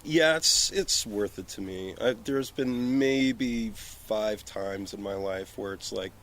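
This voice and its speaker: A man's voice: on the low side, slow, fairly bright tone. A man in casual conversation as a podcast guest talking about film work, slightly slurring his words, recorded outdoors on a porch. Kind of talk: casual chat.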